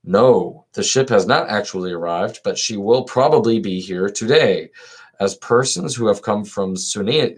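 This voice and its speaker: A man's voice: on the low side, close to an everyday voice. A man reading the part of an anxious, devoted old Athenian friend in a philosophical dialogue, earnest and formal. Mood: sorrowful.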